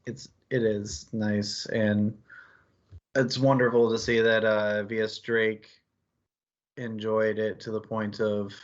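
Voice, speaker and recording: Guy w a lowish flat-ish voice rambling casually about books, kinda lofi sound. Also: slow, dull audio. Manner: rambling, conversational, peppered with "like" and "you know". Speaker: book club show co-host. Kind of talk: casual book discussion chat.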